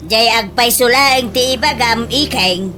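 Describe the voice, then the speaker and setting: A woman's voice: on the high side, measured pace, bright, forceful tone, slight background noise. A woman playing a nosy older village auntie in an Ilocano comedy radio drama. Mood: scolding, shocked.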